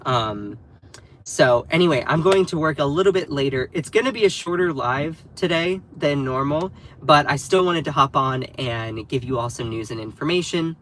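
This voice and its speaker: A man's voice: a little high, expressive, moderate pace, fairly bright tone, a little hiss or hum. A man living in North Carolina, sounding a bit nasal as he recovers from a cold, hosting a casual political livestream and talking to his chat. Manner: chatty, addresses the live chat directly. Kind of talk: casual live commentary.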